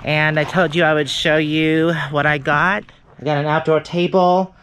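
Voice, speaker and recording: A woman's voice: sits low, steady tone, moderate pace. A woman in her forties chatting casually to a handheld camera while driving and walking outdoors. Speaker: RV vlogger. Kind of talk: casual vlog chat. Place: outdoors and in a truck. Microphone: camera at arm's length. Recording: muffled with loud road noise.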